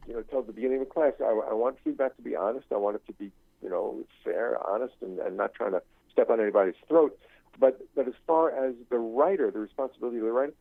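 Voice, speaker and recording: Halting older man on a phone-like line. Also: mid-range voice, creaky, dry recording. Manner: halting, searching, circles back over phrases. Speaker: guest, screenwriting professor and writer. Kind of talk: unscripted interview talk.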